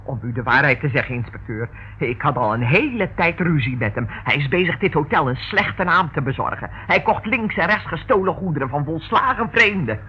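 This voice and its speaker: An actress playing a defensive, sharp-tongued wife from a shady hotel in a radio play, colloquial, excitable and indignant. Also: female voice, low voice, fairly quick, slightly rough, full character voice. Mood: scornful, evasive. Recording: muffled, noisy old radio recording.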